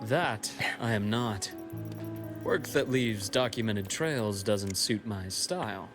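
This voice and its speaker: Gentle, candid male confidant for a game, low register, soothing and measured, over clear music. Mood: composed, candid. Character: calm, candid, gentle man, reassuring confidant. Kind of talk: scripted video game voice acting.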